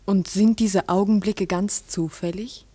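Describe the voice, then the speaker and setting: Curious village schoolmistress, dark husky tone, careful questioning, 1960s radio drama, dry sound. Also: female voice, mid-range voice, fairly even intonation, measured pace, no echo. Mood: careful, intrigued. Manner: probing, careful, polite questioning. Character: earnest, inquisitive female village schoolteacher.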